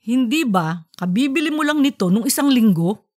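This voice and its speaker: A woman's voice: on the high side, lively intonation, moderate pace, a little mellow. A woman voicing a fussy, easily irritated middle-aged husband who grumbles with exasperation in an audiobook story. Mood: exasperated. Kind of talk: audiobook character voice.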